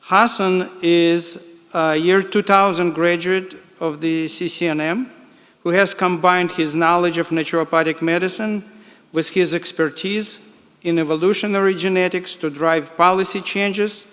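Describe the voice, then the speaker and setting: A man with a Ukrainian accent giving a formal introduction, speaking slowly and carefully with small restarts. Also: a little high, steady tone, fairly bright tone, in a large hall. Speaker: board co-chair introducing the guest speaker. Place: large hall.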